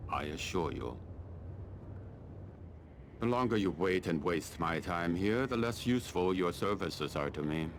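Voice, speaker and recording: Very deep-voiced, stern army colonel. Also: male voice, noisy background. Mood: cold, disdainful. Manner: formal, deliberate, haughty. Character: stern, calculating Chinese army colonel commanding mercenaries. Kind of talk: scripted video game dialogue.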